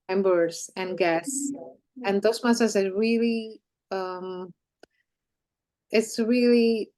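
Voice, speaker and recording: A woman of middling pitch gives an earnest, hesitant speech full of restarts. Her voice sounds dry and close to the mic. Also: darkish tone, no echo, poor audio. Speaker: club member. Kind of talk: congratulatory speech.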